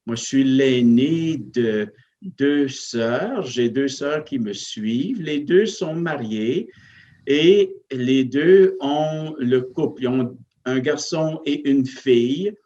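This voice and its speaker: A male parish priest, recounting his life in a hesitant, reflective way, with many pauses and fillers. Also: mid-range voice, animated, dark tone, muffled recording. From Northern Ontario, Canada. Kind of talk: interview, personal storytelling.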